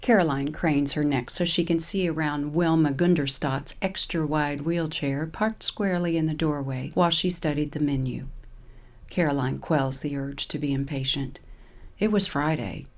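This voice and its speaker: Low-pitched woman narrating a story. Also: fairly even intonation, moderate pace. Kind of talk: scripted humorous story narration.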